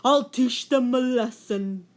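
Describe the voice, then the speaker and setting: A woman's voice: fairly high pitch, takes it slowly. A woman voicing a huge, proud elderly male whale from a storybook, speaking firmly and emphatically. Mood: angry.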